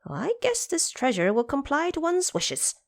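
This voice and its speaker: A woman voicing a brash, cocky male monkey king in an audio drama, boastful and playful, jumping high on excited words. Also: normal speed, no room sound, studio quality, full character voice. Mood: pleased.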